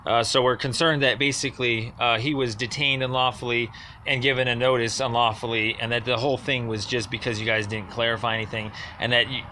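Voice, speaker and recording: A man's voice: mid-range voice, flat delivery, a little fast, sharp tone, a little hiss or hum. A man calling in on the phone, explaining a complaint in a hesitant, rambling way. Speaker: caller. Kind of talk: phone conversation, arguing a complaint.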